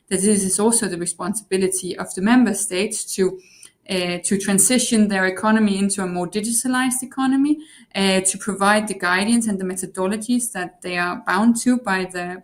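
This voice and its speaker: A woman speaking on a panel. Her pitch sits in a middle range, and she talks in a thoughtful, measured way, weighing each point before she moves on. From Denmark. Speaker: panelist, elected representative. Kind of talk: panel discussion.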